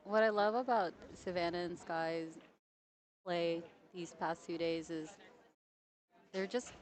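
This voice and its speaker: Friendly low-voiced woman hosting pool coverage. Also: steady tone, slow, poor audio. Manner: friendly, explains rules, laughs often. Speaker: pool commentator and host. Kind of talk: casual live commentary.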